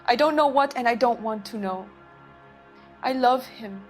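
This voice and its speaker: A woman's voice: on the high side, a little slow, lightly acted. A woman playing a defiant, loyal young pregnant girlfriend in a game drama. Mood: anxious. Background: background music.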